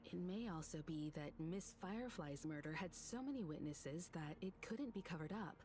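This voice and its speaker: A woman voicing a calm, analytical video game character with composed, deliberate delivery. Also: on the low side, lightly acted.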